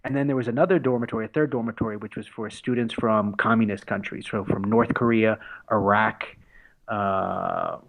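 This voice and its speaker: A man's voice: fairly low pitch, normal speed, creaky, poor audio. A man in his forties from the United States, a podcast guest telling stories in casual conversation, laughing often and restarting with fillers. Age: 40s.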